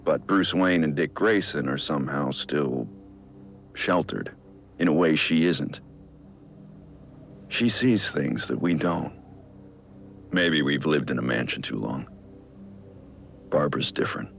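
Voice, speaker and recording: An actor playing a brooding middle-aged American vigilante in a video game, dictating case logs in a gravelly, measured, introspective voice. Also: male voice, a bit bright, highs cut off, slight background noise, heavily acted. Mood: reflective, admiring. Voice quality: gravelly, low. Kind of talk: scripted audio-log monologue.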